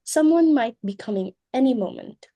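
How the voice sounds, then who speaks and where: A fussy, imperious society hostess, acted by a woman in a stage play reading. Her voice sits high with a soft, dark tone. She is exclamatory and dramatic, a domineering mother who is easily flustered. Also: lively intonation, moderate pace. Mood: brisk, disapproving.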